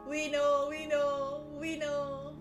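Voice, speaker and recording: A woman's voice: sits high, completely flat delivery, takes it slowly. A woman hosting a casual live stream chat, talking to viewers in a playful, teasing, giggly manner. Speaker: livestream host. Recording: echoey room, music behind voice. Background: soft background music.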